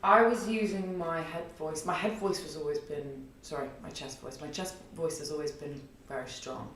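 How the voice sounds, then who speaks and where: A woman in her early thirties with a husky, low alto voice, telling a long story in a rambling, chatty way with many fillers in a podcast interview. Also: steady tone, normal speed, fairly bright tone, far from the mic, slight background noise. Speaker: guest, singer and theatre artist. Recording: echoey room, voice off-mic.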